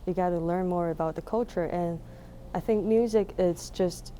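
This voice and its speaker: Young woman, Taiwanese accent, interviewee. Soft-edged mellow tone, halting casual delivery, faint background noise. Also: on the low side. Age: young adult. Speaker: musician being interviewed.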